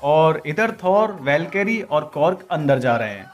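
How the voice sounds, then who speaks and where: A man's voice: a little high, sing-song, a little slow, soft background music. A man hosting an informal, opinionated movie breakdown as an explanatory voice-over. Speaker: video host, trailer breakdown narrator. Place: voice-over recording.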